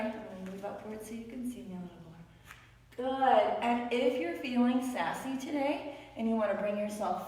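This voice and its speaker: A raspy-voiced woman leads a beginner yoga class with playful, encouraging cues, sounding tired after many classes. Her voice comes from well away from the mic. It rings through a very echoey room with a long, trailing reverb. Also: fairly high pitch, darkish tone, recorded from a distance, slight background noise. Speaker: yoga instructor. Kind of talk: guided yoga class instruction.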